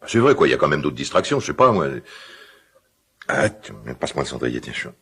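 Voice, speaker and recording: An actor playing a gruff, quick-tempered but caring middle-aged father in a radio drama, with halting, repetitive, colloquial speech. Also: very animated, a bit bright, heavily acted. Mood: stern, scolding. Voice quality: deep, gravelly, husky. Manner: halting, repeats himself, blustering colloquial speech. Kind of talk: naturalistic French radio drama acting.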